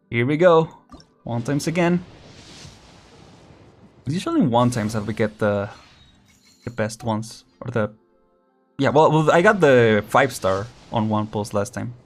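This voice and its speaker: Chatty laughing young man streaming a game. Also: mid-range voice. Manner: chatty, laughs often, counts down excitedly. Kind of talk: casual live gameplay commentary.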